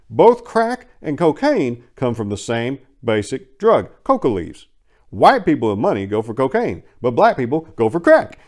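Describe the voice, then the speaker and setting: A man narrates a story in the first person with a very animated, swooping delivery. His pitch is middling for a male voice. He sounds sardonic and exasperated, playing up the drama as he goes. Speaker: narrator reading a short story.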